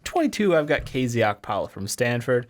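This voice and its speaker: A man's voice: mid-range voice, big pitch swings, fairly quick. A man giving casual sports analysis as a co-host, with a wry, joking manner.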